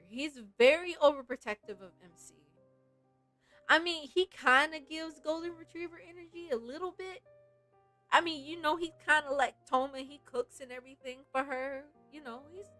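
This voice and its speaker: Bright-voiced woman streaming over music. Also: sits high. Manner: animated, giggly, chatty reactions. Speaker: game streamer. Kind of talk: casual livestream chat with read-aloud game lines.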